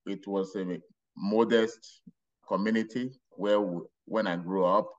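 Brief: middle-aged man, Nigerian accent, lowish voice, muffled lo-fi audio, storytelling podcast guest. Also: steady tone. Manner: unhurried, rambling with restarts and laughs. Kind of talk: casual interview storytelling.

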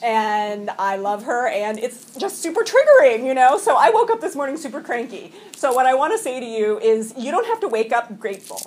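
Nervous, halting woman speaking to a group. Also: a little high, very animated, a little mellow, a little hiss or hum. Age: around 40. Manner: halting, many fillers and restarts, laughs often. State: slightly sniffly from a cold. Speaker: recovery meeting speaker. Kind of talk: personal share at a support meeting. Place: meeting room with a group.

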